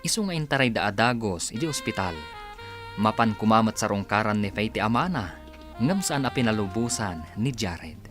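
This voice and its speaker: Animated male radio drama announcer over music. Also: on the low side, moderate pace. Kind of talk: scripted dramatic narration.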